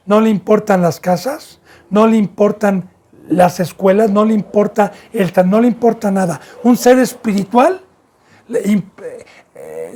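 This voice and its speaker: Fervent Mexican pastor preaching a sermon. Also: male voice, sits high. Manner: fervent preaching with sudden loud and soft swings, some hesitations.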